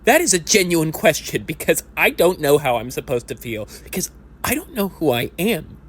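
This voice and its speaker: A man's voice: fairly high pitch, very animated, moderate pace, a bit bright, breathy edge, voice pushed up, full character voice. A man in his thirties playing a self-pitying lifelong sports fan at a support group, rambling and self-deprecating, and sometimes rising into indignant rants in an audio play. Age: 30s. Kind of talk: amateur audio play acting.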